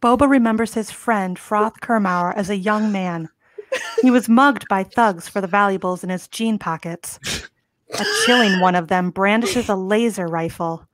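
A woman's voice: mid-range voice, moderate pace, no room sound. Dry-sounding woman narrating a script. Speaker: narrator reading stage directions. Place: home, video call.

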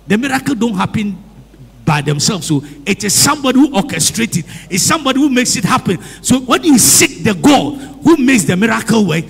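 Priest, lively high preaching voice, gravelly edge, long church reverb, calls for amens. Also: male voice, a little fast. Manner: repeats phrases and asks the congregation questions. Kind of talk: sermon. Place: church hall.

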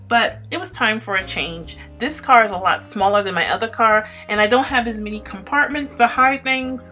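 A woman's voice: medium pitch, pushed and bright. A woman vlogger chatting upbeat to her viewers in a voice-over tour. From Florida. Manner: chatty and upbeat, addressing viewers as you guys. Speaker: lifestyle vlogger.

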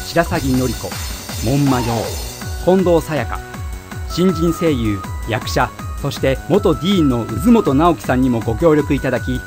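A man reading a scripted event announcement as an announcer. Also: a little high. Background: music.

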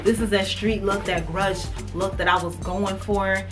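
A woman's voice: mid-range voice, steady tone, measured pace, over music. Chatty young woman doing a fashion haul. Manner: chatty, emphatic, addresses viewers as y'all. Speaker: fashion vlogger. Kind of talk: casual try-on haul chat. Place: indoors in front of a painted wood backdrop.

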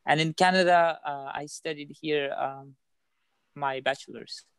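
Smooth-voiced man from Afghanistan. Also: a little high, fairly even intonation, unhurried, a bit bright, no room sound. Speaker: participant. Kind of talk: answering questions in a group call.

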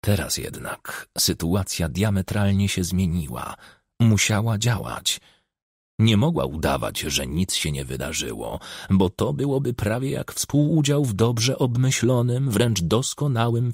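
Gravelly low-voiced male audiobook narrator. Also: expressive, a little slow, a little mellow, dry recording, clean studio sound. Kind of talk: scripted narration of a crime novel. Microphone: close studio microphone.

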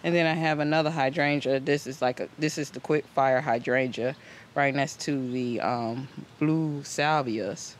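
A man's voice: medium pitch, fairly even intonation, unhurried. A man giving a casual, rambling, conversational garden tour as host, recorded outdoors on a handheld camera. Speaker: host of a home gardening channel. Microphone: handheld camera microphone. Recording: outdoor ambient noise on camera mic.

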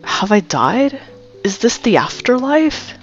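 An exhausted, baffled man stranded in the desert, played by a woman, speaks in incredulous questions. The voice is pushed up in pitch, storybook style, on a slightly dull recording with music. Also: sing-song, slow pace, exaggerated voice. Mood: bewildered, indignant. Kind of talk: audiobook character voice.

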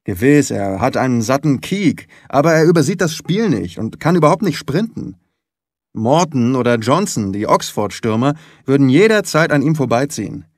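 A man's voice: medium pitch, very animated, moderate pace, no echo, clean studio sound. A man voicing a huge, distraught young rugby player in an audiobook, talking with urgent, earnest emphasis. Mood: agitated, earnest.

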